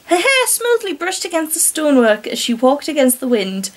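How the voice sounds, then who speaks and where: Female host for amateur fiction readings: high-pitched, conversational, wryly mocking between passages. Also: very animated, normal speed. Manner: wry, mocking asides. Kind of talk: casual commentary mixed with story reading.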